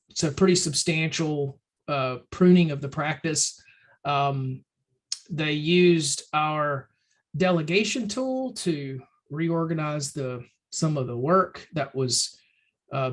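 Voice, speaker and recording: Casting note: man with a raised pitch for his sex, slightly hoarse, chatty and rambling webinar presenter. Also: slow, poor audio. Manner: loose and conversational, many ums and restarts. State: slightly hoarse, clearing throat. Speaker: webinar presenter for a firm advisory program. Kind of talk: casual webinar talk.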